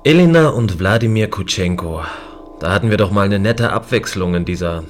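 A man's voice: lowish voice, expressive, moderate pace, faint music behind, natural voice. A man playing a wry, lonely station watchman in a science fiction radio play, casual and dryly sarcastic.

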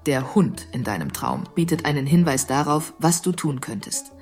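A woman acting as a mystical female dream interpreter in a radio play, with swooping, lively pitch. She is domineering and talks down to her client in a teasing way, with music in the recording. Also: normal speed. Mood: condescending. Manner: condescending, teasing. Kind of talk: German audio drama dialogue.

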